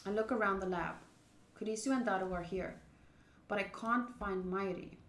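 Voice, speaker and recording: A woman's voice: medium pitch, normal speed, fairly bright tone. A young woman streamer reading a visual novel aloud and chatting informally and expressively with viewers. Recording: roomy echo.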